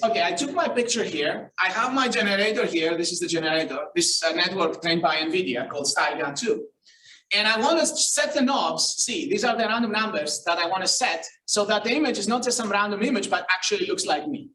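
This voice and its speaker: A man's voice: high-pitched, pushed and bright, a little hiss or hum. Greek-accented man lecturing in an echoey hall. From Greece. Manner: casual, self-deprecating, many fillers and restarts. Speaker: keynote speaker, researcher. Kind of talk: conference plenary lecture. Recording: roomy, distant hall sound.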